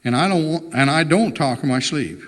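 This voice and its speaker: A man's voice: a little high, expressive, moderate pace, in a large hall, voice pushed up, close to an everyday voice. A man voicing an earnest, morally insistent wife in a storybook reading, impassioned and pleading with her husband. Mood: indignant.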